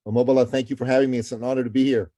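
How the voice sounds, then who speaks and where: Emphatic, dark-voiced man from Iran. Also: medium pitch, rapid-fire, no echo. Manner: energetic, emphatic, repeats words as he speaks. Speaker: guest, author and entrepreneur. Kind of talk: interview conversation.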